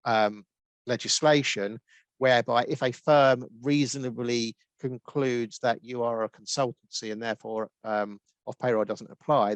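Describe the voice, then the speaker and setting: A man with a Norwich accent, answering webinar questions as a guest expert with brisk explanations full of ums. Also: mid-range voice, no echo, low-quality recording. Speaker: guest expert on tax rules.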